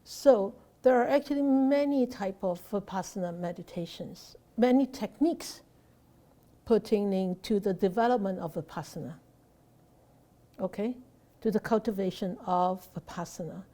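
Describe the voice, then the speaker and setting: Woman Buddhist teacher, mellow tone, unscripted, halting delivery with fillers, rhetorical questions. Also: mid-range voice. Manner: hesitant, many fillers and restarts.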